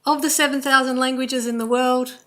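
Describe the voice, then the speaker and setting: Woman with an Australian accent, measured. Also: high voice, steady tone, fairly bright tone. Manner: measured, slow, in short listed phrases. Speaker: language presenter at a court inquest. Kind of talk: formal court presentation. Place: courtroom.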